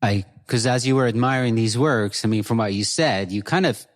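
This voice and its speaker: A man's voice: medium pitch, moderate pace. A man from Ireland hosting an interview podcast, chatty and hesitant with many fillers.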